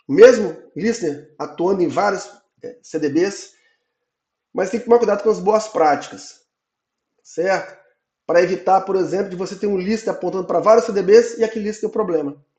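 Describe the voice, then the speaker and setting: Hoarse male instructor, higher pitched, explains patiently; roomy recording. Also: a little mellow. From Brazil. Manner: explains in repeated checks, ending phrases with "tá?". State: hoarse from a cold. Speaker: database instructor. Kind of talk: online technical lecture.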